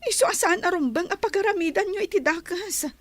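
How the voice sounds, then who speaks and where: A woman's voice: very high-pitched, brisk, a bit bright. An actress with an Ilocano accent playing an earnest, pleading young wife of a rich man. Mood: reproachful.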